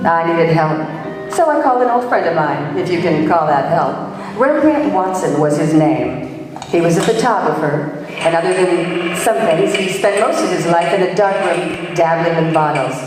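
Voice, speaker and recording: A woman playing a brisk, wisecracking female private detective in an old-time radio play. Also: lowish voice, lively intonation, crowd noise, lightly acted. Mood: wry narrating. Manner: wisecracking, bossy. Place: live stage performance in a hall. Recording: very echoey hall, distant microphone.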